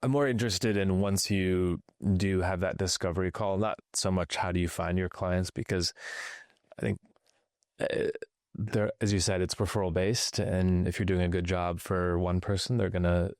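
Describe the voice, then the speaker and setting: A man's voice: low-pitched, steady tone, normal speed, a little mellow, no echo, clean studio sound. A man hosting a podcast interview, asking loosely phrased questions and stacking several at a time. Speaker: podcast host.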